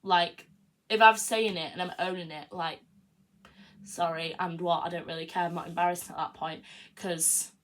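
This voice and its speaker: A woman's voice: fairly low pitch, steady tone, moderate pace, fairly bright tone. A young woman in her early twenties hosts a podcast, talking through it in a casual solo monologue. She is frank and self-affirming, and fills her talk with "like" and "you know what I mean". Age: early 20s. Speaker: podcast host.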